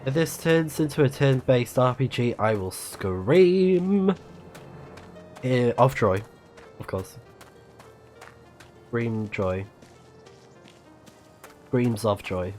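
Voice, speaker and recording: Slow-paced man streaming a game. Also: medium pitch, big pitch swings, darkish tone, soft background music. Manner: reads on-screen text aloud, murmurs reactions. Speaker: streamer playing a video game. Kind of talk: casual let's play commentary.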